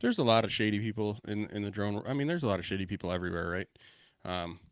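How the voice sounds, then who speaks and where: A man with a lowish voice, recorded dry and close in a home office, co-hosting a podcast. He jokes, swears freely and tosses out loose, brash banter. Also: fast, no echo. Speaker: podcast co-host. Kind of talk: casual podcast chat.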